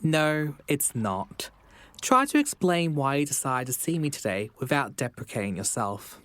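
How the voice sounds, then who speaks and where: A man voicing a calm frog therapist in an audio drama, speaking in measured, reassuring, clinical phrases. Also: mid-range voice, no echo. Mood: reassuring, professional.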